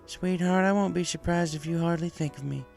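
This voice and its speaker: A man voicing a caring, steady young female farm pony, folksy, reassuring and firm, in a story reading. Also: on the high side, brisk, creaky, soft background music, pitched above the performer's own voice.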